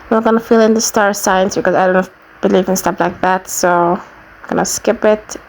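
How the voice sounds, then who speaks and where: Namibian woman with a creaky voice. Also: mid-range voice, steady tone, moderate pace, slight background noise, poor audio. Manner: plain-spoken, explanatory, step by step. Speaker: YouTuber giving a how-to tutorial. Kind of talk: informal screen walkthrough, casual instruction.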